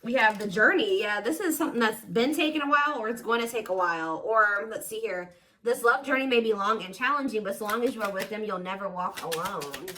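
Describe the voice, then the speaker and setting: A woman's voice: mid-range voice, noisy background. A woman giving a casual, intuitive tarot reading to viewers, speaking informally and with emphatic assurance. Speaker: tarot reader, host.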